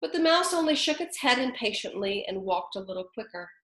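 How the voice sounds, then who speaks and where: A woman reads a children's story aloud, stopping for friendly asides to her young listeners. Her voice is fairly high and very lively in its rise and fall. The room adds a roomy echo. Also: fairly quick. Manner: animated and chatty, talking to young listeners. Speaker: storybook reader.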